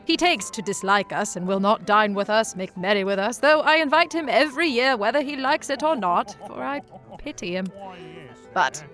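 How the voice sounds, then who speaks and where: A woman's voice: medium pitch, lively intonation, moderate pace, fairly bright tone, soft background music, natural voice. A woman playing a good-natured, forgiving young party host with coaxing, theatrical delivery in an audio drama.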